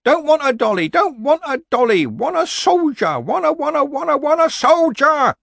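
A man's voice: extremely high pitch, big pitch swings, moderate pace, creaky, fairly bright tone, dry recording, clean studio sound, voice pushed up, heavily acted. A man voicing a small boy in a storybook, whining and wailing repetitively for what he wants. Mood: tearful rage. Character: screaming, sobbing small boy demanding a toy.

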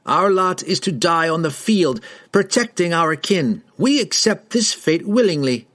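A man's voice: medium pitch, lively intonation, a little slow, pitched above the performer's own voice, natural voice. A man voicing a haughty, hostile patrol rider, with pompous, sneering and archaic speech in a fantasy audiobook. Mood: proud.

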